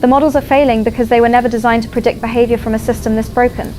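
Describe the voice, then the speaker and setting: A woman acting a sober, troubled climate scientist in an audio drama, explaining gravely as a news expert. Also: a little high, steady tone, rapid-fire, dark tone, lightly acted. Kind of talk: expert briefing on news broadcast. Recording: clear background ambience noise.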